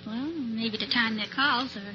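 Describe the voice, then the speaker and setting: Bright, giggly woman on old radio. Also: on the high side, measured pace. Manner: giggly, hesitant. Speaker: telephone operator, quiz contestant. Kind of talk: unscripted quiz show chat. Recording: muffled old-time radio recording, faint music.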